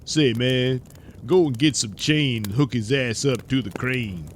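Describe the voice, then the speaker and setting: A man's voice: mid-range voice, a little slow, close to an everyday voice. A man playing a jeering, crude gangster henchman in a comic audio serial, taunting rowdily, with light noise in the recording. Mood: gloating.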